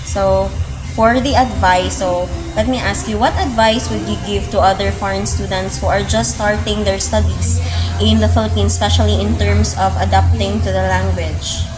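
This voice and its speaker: A woman's voice: medium pitch, steady tone, moderate pace, over music, rough recording. Young woman reading interview questions. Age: young adult. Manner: methodical, frequent "okay, so" fillers. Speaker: student interviewer.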